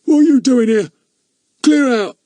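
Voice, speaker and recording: A man doing a curt elderly man shouting. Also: mid-range voice, animated, normal speed, no room sound, voice pushed up.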